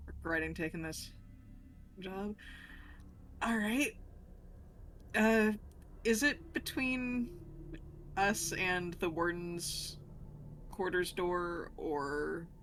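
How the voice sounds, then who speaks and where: Woman in a tabletop rpg, slow talker, casual, little noise behind her. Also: on the low side, fairly bright tone. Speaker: tabletop roleplaying player. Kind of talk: casual game chat.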